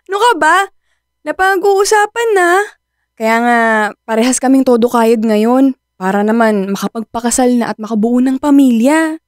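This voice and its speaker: An actress playing an anxious young working woman in a Filipino radio drama, with an expressive, conversational delivery. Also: on the high side, a little slow, dry recording, studio quality. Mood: hopeful.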